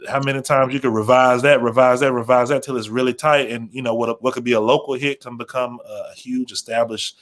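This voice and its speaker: Man, medium pitch, thoughtful, halting phrasing, conversational panel advice, program manager. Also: fairly even intonation, fairly bright tone.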